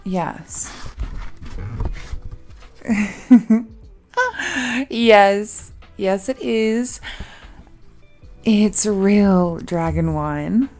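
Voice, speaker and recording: A woman acts out a young dragon girl, giddy to be engaged and possessively fond of her partner. She speaks very slowly in a soft, mellow voice, breathy and hesitant with a tender, giggly lilt. It is an intimate romantic audio drama with music behind her. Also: mid-range voice. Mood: playful, excited.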